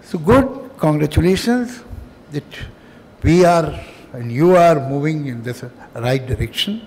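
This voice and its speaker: An older man whose first language is Urdu gives a reflective, emphatic public speech at a festival, pausing often and adding wry humor. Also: on the high side, expressive, darkish tone. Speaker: festival speaker. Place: hotel hall. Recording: long hall reverb.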